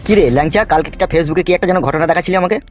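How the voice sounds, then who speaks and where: A man's voice: on the high side, fairly even intonation, rapid-fire, faint music behind, close to an everyday voice. A man voicing a bossy, crude village politician in a comedy cartoon, with coarse, scolding talk. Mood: bossy, probing.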